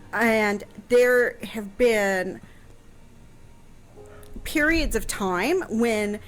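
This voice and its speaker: A woman explaining things conversationally on a radio show, thoughtful and pausing mid-phrase as she goes. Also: mid-range voice. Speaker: radio guest answering history questions.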